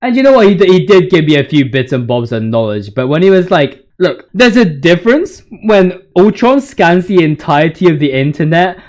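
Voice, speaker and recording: A man's voice: fairly high pitch, sing-song, fairly quick, few pauses, darkish tone. A man giving casual, slangy video game commentary in a ranting, hyperbolic, joking way, swearing freely. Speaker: video game commentator ranking boss voice lines.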